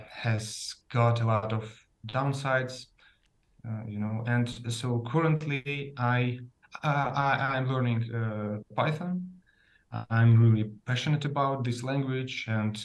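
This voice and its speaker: A man from Russia with a Russian accent, a native Russian speaker, talking hesitantly about himself and searching for words. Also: medium pitch. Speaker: club participant, learner. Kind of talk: casual talk about himself.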